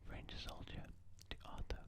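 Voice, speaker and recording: An ASMR host reading out quotes in a breathy, unvoiced whisper, with deliberate phrasing and pauses.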